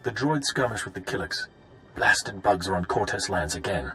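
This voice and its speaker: A noble house's guard in a video game. He is gruff and plain-spoken, with a mid-pitched, lively delivery and soft music behind. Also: moderate pace, poor audio.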